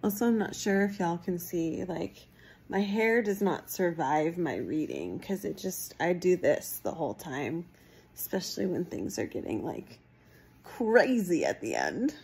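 A woman in her thirties chatting casually and emphatically to her phone at home, reacting in an animated, informal way. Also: fairly low pitch, measured pace, vocal fry, breathy edge. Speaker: book vlogger giving reading reactions. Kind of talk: casual reaction chat to camera. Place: small room at home, indoors. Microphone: phone at arm's length.

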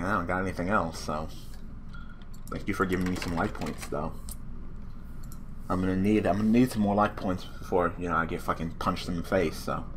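A young man with a hoarse, worn-out voice commentating a card game online, swearing and ranting casually. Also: low voice, a little hiss or hum. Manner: profane, rambling, excitable rants. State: hoarse, losing his voice. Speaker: gaming channel host.

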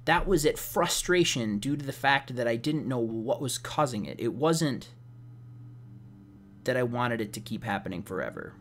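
A slightly hoarse man giving casual, rambling gameplay commentary as a let's play host, sounding wry and exasperated. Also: medium pitch, expressive, normal speed, a little hiss or hum. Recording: game music behind the voice. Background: video game music.

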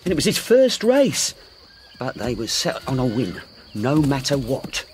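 An actor playing a humble, earnest racehorse jockey in a radio drama, deferential, with rustic, ungrammatical speech. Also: male voice, mid-range voice, wildly swooping pitch, unhurried, darkish tone, a little hiss or hum. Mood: earnest, indignant. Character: humble, earnest working-class racehorse jockey devoted to his horse.

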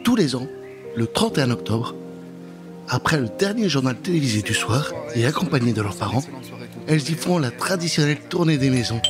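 A middle-aged male storyteller delivers a spooky tale for children with theatrical, playful expression. His mid-pitched voice is close on a studio microphone and addresses the listener directly. Music plays clearly underneath. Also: big pitch swings, a little fast. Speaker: storyteller, narrator. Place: dark studio booth. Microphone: close studio microphone with pop filter. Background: prominent music.

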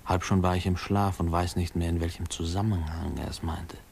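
A man's voice: low-pitched, steady tone, a little fast, vocal fry, crowd noise. An actor playing a grown man remembering his boyhood, narrating a 1950s radio drama in a reflective, lyrical way. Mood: wistful, reflective. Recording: faintly noisy old radio recording.

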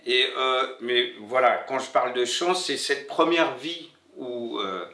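Middle-aged man, from Morocco, French as a later language, medium pitch, reflective interview storytelling, hesitant phrasing, slightly distant mic. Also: pushed and bright, reverberant. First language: not French, learned it after moving to France. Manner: halting, searching for words with many pauses and repetitions. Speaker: interviewee, bookseller. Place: indoor room with bare wall, seated.

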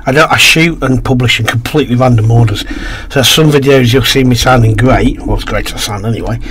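A man with a croaky, slightly coughing throat, casually commentating a game, reading on-screen text aloud and muttering to himself as he plays. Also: mid-range voice, fairly quick, a bit bright. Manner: mumbles to himself while playing, reads game text aloud. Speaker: gaming video host. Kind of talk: casual let's-play commentary.

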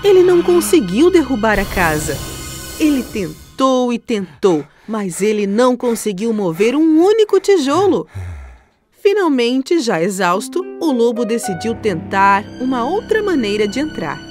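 A woman's voice: fairly high pitch, sing-song, measured pace, darkish tone, soft background music. A woman storyteller giving scripted narration of a children's tale.

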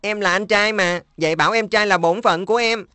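A woman voicing a kind older brother in a dubbed cartoon, speaking gently and patiently. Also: mid-range voice, brisk, smooth, dry recording, natural voice. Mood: gentle. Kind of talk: cartoon voice dub.

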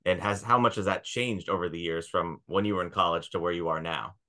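A man's voice: low-pitched, steady tone, a little fast, sharp tone. A man in his thirties hosting a casual podcast interview over a video call on a headset. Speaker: podcast host. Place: indoor room, video call.

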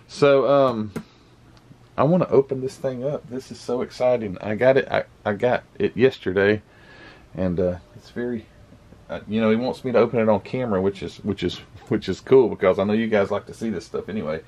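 A man with a slightly low, croaky morning voice chats casually as he opens a parcel for his viewers. He rambles and restarts his sentences, and his words reach a camera set back from him in an indoor room. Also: a little fast, a little mellow. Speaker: model car channel host. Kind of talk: casual unboxing chat. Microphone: camera mic set back from him.

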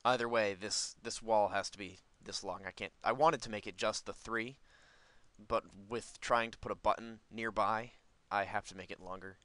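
A man with a fairly low, bright voice talks through a video game in a casual, unscripted way. He rambles and thinks aloud as he goes. The sound is dry and close. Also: steady tone, normal speed, dry recording. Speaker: gaming video host. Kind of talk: casual, unscripted gameplay commentary.

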